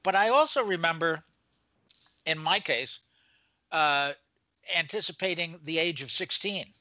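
Older guy hosting a chat show, bright voice, bit high, dry sound. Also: unhurried, no room sound. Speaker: talk show host. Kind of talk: casual chat.

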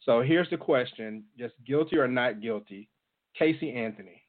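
A man's voice: mid-range voice, normal speed. Muffled male interview host. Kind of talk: conversational interviewing. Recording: muffled, band-limited audio.

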